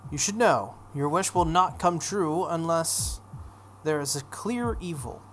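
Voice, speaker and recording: A man's voice: on the high side, animated, measured pace, fairly bright tone. A man voicing an ominous priest in a visual novel, making solemn, oracular pronouncements over some background noise. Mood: solemn, probing. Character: ominous male priest delivering grave pronouncements.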